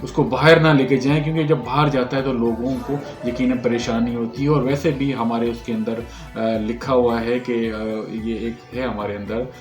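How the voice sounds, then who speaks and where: A man with a Pakistani accent speaking as a news host, giving halting, unscripted commentary to viewers and searching for his words. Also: medium pitch, fairly even intonation. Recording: echoey room with music underneath. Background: background music.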